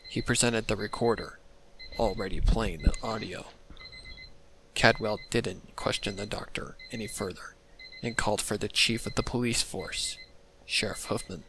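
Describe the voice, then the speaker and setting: Low, creaky-voiced male story narrator. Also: steady tone, normal speed, noisy background. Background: loud music.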